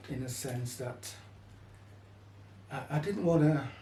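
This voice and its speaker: A man's voice: medium pitch, slow, warm tone. An older man being interviewed, speaking hesitantly in short phrases about his own life. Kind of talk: hesitant personal interview talk. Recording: echoey, distant mic, faint noise.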